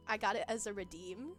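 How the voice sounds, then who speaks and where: A woman's voice: medium pitch, fairly bright tone. A young woman streamer chatting casually with her chat in a giggly, rambling way, full of fillers. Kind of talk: casual livestream chat, reading chat. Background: faint background music.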